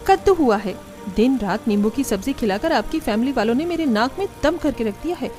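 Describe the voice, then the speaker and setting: An exasperated young daughter-in-law played by a woman in a cartoon family story. She grumbles and snipes in a high voice, sarcastic and sharp-tongued. Faint music plays behind her. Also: animated, fairly quick, close to an everyday voice. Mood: angry.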